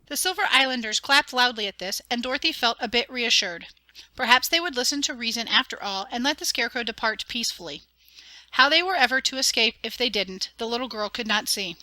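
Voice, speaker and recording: A woman narrating a fairy-tale audiobook in an expressive dramatic reading. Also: on the high side, a little fast, dry recording. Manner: clear, expressive storytelling.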